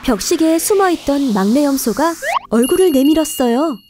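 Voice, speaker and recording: A woman's voice: high voice, animated, fairly quick, background noise. A woman narrating a scripted children's fairy tale in a careful storytelling manner.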